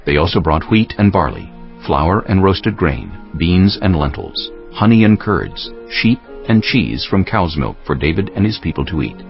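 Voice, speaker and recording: Male scripture narrator, extremely low voice, highly varied intonation, solemn, slightly muffled, faint music behind. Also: sing-song, normal speed.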